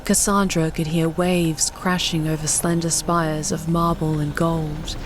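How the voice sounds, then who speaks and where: A woman's voice: sits low, level tone, a little slow, noisy background. A woman reading scripted story narration as an audiobook narrator. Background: soft music.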